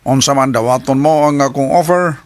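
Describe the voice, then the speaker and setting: A man's voice: medium pitch, fairly quick, dry recording, natural voice. A man playing a pushy employer in a Bisaya radio drama, clipped and insistent, with a Filipino accent. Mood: persuasive. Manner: clipped, insistent, mixes in English phrases. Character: smooth-talking, pushy male employer offering a job.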